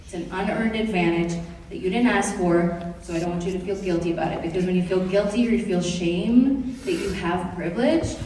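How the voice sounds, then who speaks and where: A woman's voice: on the low side, a little fast, recorded from a distance, a little hiss or hum. Woman presenter in an echoey hall. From Kenya. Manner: lively, joking, asks the audience questions. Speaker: presenter to high school students. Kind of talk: classroom presentation. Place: large room or hall.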